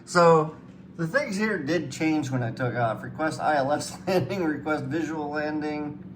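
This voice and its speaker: A man's voice: on the high side, a little slow. A man giving casual hands-on commentary as a flight simulator guide, rambling and thinking aloud. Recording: clearly noisy room background.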